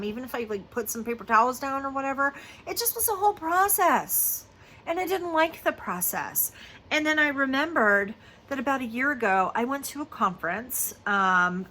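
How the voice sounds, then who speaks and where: A woman in her fifties talks straight to the camera in a bright, forward voice, chatty and confiding, her speech full of fillers. A faint hiss of background noise sits under her voice. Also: fairly high pitch. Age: 50s. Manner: chatty and confiding, many fillers like "you know" and "um". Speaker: lactation consultant. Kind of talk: casual personal vlog talk.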